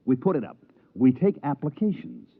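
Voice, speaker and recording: A man's voice: mid-range voice, animated, normal speed. Brash American con man, muffled old radio. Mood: scheming, confident. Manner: slangy, smooth-talking salesman patter. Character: brash, fast-scheming con man and promoter on Mars. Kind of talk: 1950s radio drama acting with first-person narration. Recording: muffled 1950s radio recording with music.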